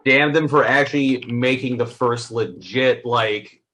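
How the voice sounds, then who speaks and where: A man speaking as a co-host in a casual, conversational movie review, halting and a little wry as he talks. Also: medium pitch, sharp tone. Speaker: film reviewer and podcast co-host.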